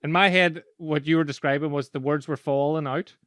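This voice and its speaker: A man's voice: on the high side, fairly quick, smooth, dry recording, clean studio sound. An Irish man in casual conversation, telling stories animatedly and laughing as he talks. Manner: animated storyteller, laughs while talking. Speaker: podcast host and speaking coach.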